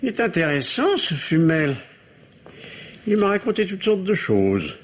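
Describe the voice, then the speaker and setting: An actor playing a middle-aged provincial doctor in an old French radio mystery, sardonic yet privately ashamed, with formal, theatrical diction. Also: male voice, high voice, wildly swooping pitch, measured pace, exaggerated voice. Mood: sly, needling. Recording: dull, noisy old radio recording.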